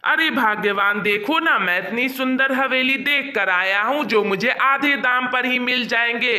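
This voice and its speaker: Ambitious male doctor, acted by a man: very high, piercingly bright, insistent cartoon delivery. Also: unhurried, heavily acted. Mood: eager. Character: ambitious, impatient male doctor, dismissive of ghosts. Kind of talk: cartoon story dialogue. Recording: roomy echo.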